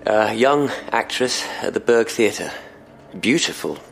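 Gravelly, deep, haunted police constable. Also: male voice, expressive, soft background music, full character voice, poor audio. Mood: grim, haunted. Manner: halting, haunted, earnest. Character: distraught, sleepless police constable in 1920 Vienna. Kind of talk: audio drama acting.

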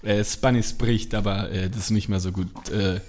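A German man in a casual video call, rambling and halting as he explains. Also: lowish voice, steady tone, darkish tone.

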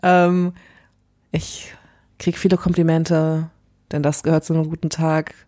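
A woman's voice: low voice, level tone, unhurried, dark tone. A young woman co-hosting a podcast in casual chat, teasing and laughing often. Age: young adult. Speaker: podcast co-host.

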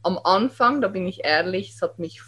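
A woman's voice: mid-range voice, expressive, moderate pace, fairly bright tone. Woman with regional German accent, candid, emphatic, motivating podcast guest. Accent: regional German dialect. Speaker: guest, Instagram creator. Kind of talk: casual podcast conversation.

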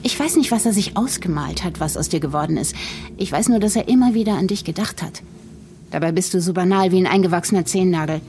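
A woman's voice: on the low side, fast, mellow, slight background noise, natural voice. Woman acting a cold, snobbish woman politician, condescending. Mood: contemptuous. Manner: condescending, coolly matter-of-fact. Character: cold, snobbish, scheming female politician with cruel candour. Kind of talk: audio drama acting.

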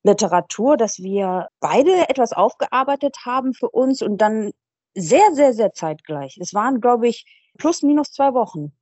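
A woman in her thirties speaks with a mellow, darkish tone, choosing her words thoughtfully and measuredly, with the occasional hesitation. The recording is dry and close, with no room sound at all. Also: a little high, expressive, unhurried, breathy edge, no echo. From Germany. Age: 30s. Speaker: guest, author and teacher. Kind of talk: interview conversation.

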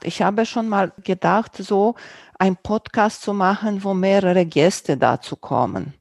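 A woman's voice: mid-range voice, a little slow, gravelly, a little mellow, dry recording. A woman with a Romanian accent who speaks German as a second language, chatting casually in an interview about her podcast, in loose sentences that search for words. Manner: loose, searching sentences with non-native grammar. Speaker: podcast host, guest on another podcast.

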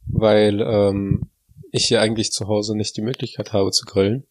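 A man with a somewhat low voice chats casually as a podcast co-host. A cold has left him stuffy and nasal. He rambles through his thoughts and drifts into running jokes. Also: little pitch movement, moderate pace, a little mellow. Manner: rambling, joking. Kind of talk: casual banter.

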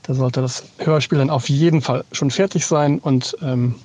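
Mellow man explaining casually from a car. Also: mid-range voice, steady tone, normal speed. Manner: informal, explanatory. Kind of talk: casual spoken voice message. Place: inside a car.

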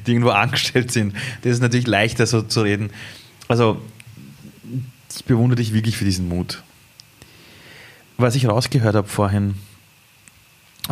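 A man hosts an interview in a fairly low voice. He speaks in an engaged way and keeps turning to address the audience directly. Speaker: interview host. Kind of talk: interview hosting.

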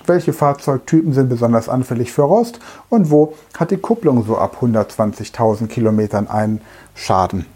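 A middle-aged man delivers a lecture-style monologue as a language trainer, speaking straight to the listener and leaning on everyday comparisons. His voice is husky and mellow, with a soft, dark tone. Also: medium pitch. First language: German. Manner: direct, uses everyday examples, speaks to the listener as du.

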